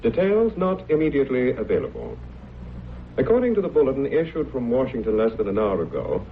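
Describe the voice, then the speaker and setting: An actor playing a formal radio news announcer, reading a scripted bulletin in a vintage drama. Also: male voice, medium pitch, close to an everyday voice. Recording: tinny, noisy old radio recording.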